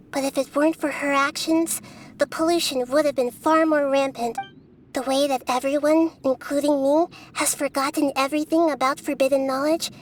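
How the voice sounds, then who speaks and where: A woman voicing a small, wise, gentle girl deity in a video game, speaking in a measured, thoughtful, formal way. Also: high voice, a little hiss or hum, close to an everyday voice. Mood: solemn.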